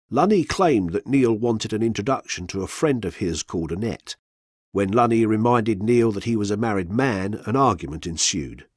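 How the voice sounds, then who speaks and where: A man reading a scripted true-crime documentary for radio in a measured, factual manner. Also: fairly low pitch, slightly rough, no echo, studio quality. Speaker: narrator, reader of a true-crime story.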